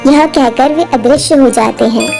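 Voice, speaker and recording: A woman's voice: high voice, normal speed, gravelly, darkish tone. A woman narrating a scripted devotional moral story as its storyteller. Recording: music behind the voice.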